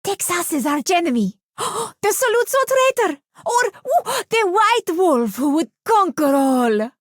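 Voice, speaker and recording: A woman's voice: extremely high pitch, big pitch swings, unhurried, no echo, clean studio sound, exaggerated voice. A woman playing a theatrical, playful young villainess in a video game, with a dramatic, gasping delivery. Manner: dramatic, breathy gasps, playful swings. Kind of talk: video game character voice acting.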